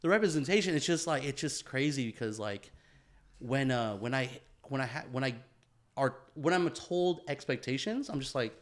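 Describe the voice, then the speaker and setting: A man's voice: mid-range voice, animated, moderate pace. Rambling man full of verbal fillers. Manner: rambling, heavy on "you know" fillers, self-deprecating. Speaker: podcast co-host. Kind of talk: casual podcast chat.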